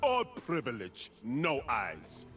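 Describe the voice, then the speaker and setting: A man's voice: medium pitch, huge pitch swings, a bit bright, faint music behind, exaggerated voice. A man playing a proud, defiant monster-hunting warrior in a video game, speaking in bold, taunting, declamatory lines. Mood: defiant, scornful. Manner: declamatory, taunting, deliberate delivery of short lines.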